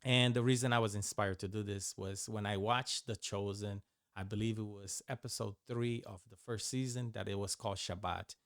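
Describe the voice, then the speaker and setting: Brazilian guy, portuguese his first language, kinda low voice, teaching a bible course, lots of ums and restarts, dry close mic. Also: fairly even intonation, no room sound. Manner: loose, halting, with frequent restarts and filler words. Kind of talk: informal course overview.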